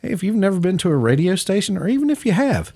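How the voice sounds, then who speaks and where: A man's voice: high-pitched, very animated, fast talker, warm tone, no room sound. A man hosting a radio fundraiser in the studio, talking casually and rambling with many fillers. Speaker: radio host. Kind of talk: casual on-air fundraiser chat. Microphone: studio mic.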